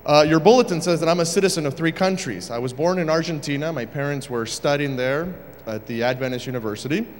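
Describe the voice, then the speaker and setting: A man's voice: a little high, fast talker, big-room sound, slight background noise. A man from Uruguay preaching a sermon as a guest speaker, joking casually at first and then building to emphatic points. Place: church sanctuary.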